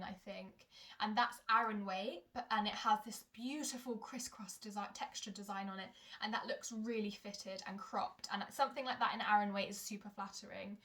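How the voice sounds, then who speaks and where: A woman's voice: medium pitch, fairly bright tone. A young woman vlogging about her knitting at home, chatting casually and rambling, often correcting herself mid-sentence. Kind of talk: casual chat to camera. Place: home in Germany.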